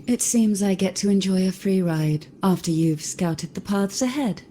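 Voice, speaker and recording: Actress as a mellow, teasing woman companion. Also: medium pitch, moderate pace, slight background noise, close to an everyday voice. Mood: playful, relaxed. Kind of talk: video game voice acting.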